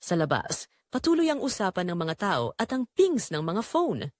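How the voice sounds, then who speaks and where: A woman's voice: mid-range voice, big pitch swings, moderate pace, dry recording, clean studio sound. A woman reading scripted first-person narration for a dramatic audio story.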